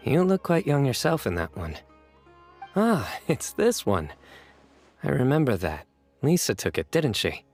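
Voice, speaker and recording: An actor playing a stoic, dignified young champion in a video game, composed, deadpan and self-assured. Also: male voice, medium pitch, measured pace, long pauses. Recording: music under the dialogue.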